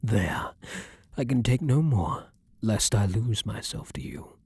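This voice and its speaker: A man's voice: fairly low pitch, expressive, slow pace, darkish tone, slightly rough, no room sound, exaggerated voice. A man playing a vampire king, an ardent, protective lover with courtly, formal phrasing, in an intimate ASMR roleplay. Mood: firm restraint.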